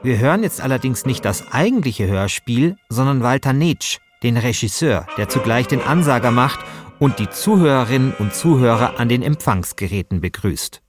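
A man's voice: medium pitch, expressive, normal speed, music in the background. A man speaking as a podcast co-host, moving between casual chat and scripted narration.

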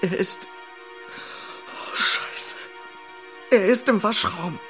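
A man's voice: high voice, drawn-out words, pushed and bright, soft background music, exaggerated voice. An actor playing a frightened young male military cadet, agitated and pleading, in a German radio drama. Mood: shaken.

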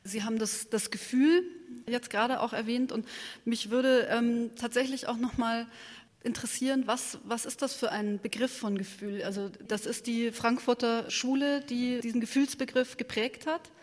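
A woman's voice: on the high side, steady tone, moderate pace. A woman, moderating a live interview and feeling her way carefully into her questions. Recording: reverberant hall.

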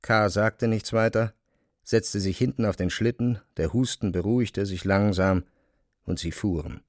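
Low-voiced man narrating, close and dry. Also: measured pace, no room sound. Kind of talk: literary scripted narration.

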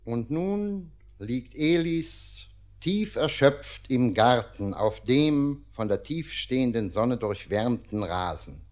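Calm, slow male narrator. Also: medium pitch. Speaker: narrator reading stage directions. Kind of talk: scripted narration.

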